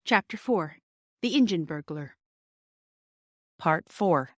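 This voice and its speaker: A woman's voice: lowish voice, lively intonation, slow pace. A woman narrating a children's story from a script as an audiobook reader.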